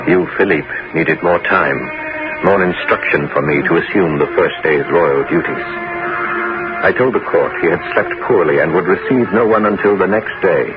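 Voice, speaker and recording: An actor playing a scheming, calculating bishop in a 1970s radio drama, speaking in a measured, persuasive, theatrical way. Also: male voice, deep voice, over music, natural voice. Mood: confiding. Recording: dull, noisy 1970s radio broadcast.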